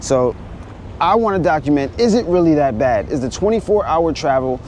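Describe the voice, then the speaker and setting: Upbeat New travel vlogger. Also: male voice, a little high, expressive. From New York. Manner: upbeat, rushed on the move. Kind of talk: casual travel vlog to camera. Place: airports and city streets. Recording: loud background noise on location.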